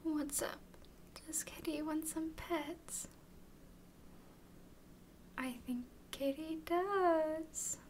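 A woman playing a tall, clingy, playfully teasing young woman smitten with her friend, in an intimate, softly whispered ASMR roleplay. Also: sits high, very slow, long pauses. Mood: tender. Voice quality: soft, breathy whispering. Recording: faint background noise.

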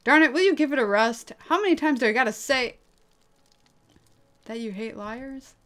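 A woman voicing a hot-tempered young male killer, blunt, gruff and impatient, in anime drama style. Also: high-pitched, lively intonation, normal speed, fairly bright tone. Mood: irritated.

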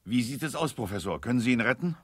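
An actor playing an authoritative army general in a radio play, with a clipped, commanding manner. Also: male voice, mid-range voice, animated, quick pace, slightly rough, dry recording. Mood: questioning.

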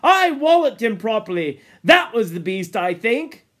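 A man voicing an excited, boastful young boy hunter in a novel reading, insistent and emphatic. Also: extremely high pitch, slow, pitched above the performer's own voice, heavily acted. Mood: excited, proud. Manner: loud, breathless, emphatic exclamations.

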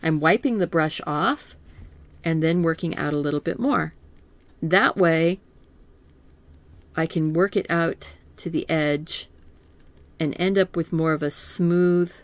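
A calm, soothing woman in middle age leads a painting lesson at an unhurried, easy pace. Her voice sits a little low and sounds close and dry, with no room around it. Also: no room sound. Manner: slow, gentle, thinking aloud with small laughs. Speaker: art teacher.

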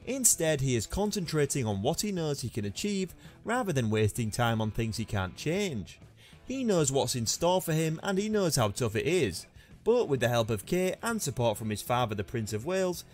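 A man's voice: mid-range voice, sing-song, normal speed. A man reading scripted news narration in a formal, read-aloud manner. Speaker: narrator of a royal news channel. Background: background music.

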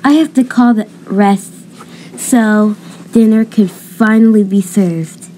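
A woman's voice: medium pitch, takes it slowly, dark tone, a little hiss or hum, rough recording. A woman narrating and voicing a homemade roleplay story as its creator.

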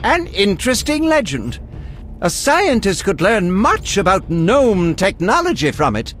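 A man's voice: sits high, wildly swooping pitch, unhurried, a bit bright, a little hiss or hum, full character voice. A man acting an eccentric, morbidly curious alchemist scholar in a video game, erudite and precise in his speech. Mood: pedantic, relishing. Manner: erudite, precise, relishing grisly detail.

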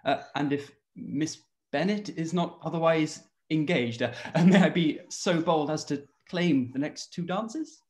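A young man playing an affable, slightly hesitant gentleman in a period radio play. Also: a little high, unhurried, lightly acted. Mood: eager, bashful. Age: young adult. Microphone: video call.

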